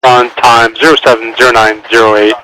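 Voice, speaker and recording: A man speaks as a military mission controller over air-band radio. His phrasing is clipped and procedural, delivered in short instructions at an average pitch. The transmission is clearly noisy, with static and clicks. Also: little pitch movement, fairly quick.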